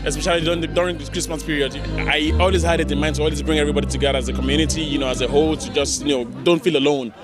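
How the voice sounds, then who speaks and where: A man's voice: a little high, steady tone, rapid-fire, few pauses, over loud music. A man who organized the market, eagerly answering interview questions outdoors. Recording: loud live band behind.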